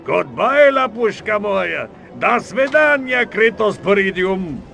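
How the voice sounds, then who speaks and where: An actor playing a smug, theatrical Soviet mastermind in a video game, gloating pompously in a Russian accent. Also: male voice, high-pitched, very animated, slow, gravelly, pushed and bright, slight background noise, heavily acted. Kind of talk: video game cutscene acting.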